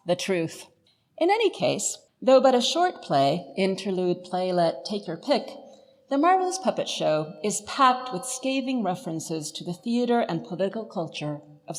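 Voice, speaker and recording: Female professor, scripted scholarly talk, expressive mid-range voice, reverberant space. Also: lowish voice, normal speed. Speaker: lecturer, professor. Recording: reverberant hall.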